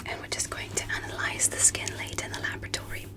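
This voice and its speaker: A woman playing a polite, gentle alien doctor in an ASMR medical roleplay, speaking in a careful, breathy whisper. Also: close to an everyday voice. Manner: slow, careful, softly reassuring.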